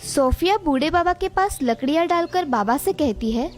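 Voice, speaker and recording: A woman's voice: sits high, expressive, moderate pace, soft background music. A woman narrator reading a scripted moral story for children.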